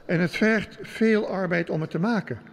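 A man playing a deferential, calculating burgomaster in a historical audio drama, hesitant and polite, with a creaky voice. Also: high voice, moderate pace. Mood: deferential, probing. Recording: long hall reverb.

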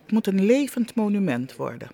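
A woman answering as an interview guest, speaking spontaneously and haltingly, repeating words for emphasis. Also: medium pitch, sing-song, warm tone. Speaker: interview guest, monument project leader.

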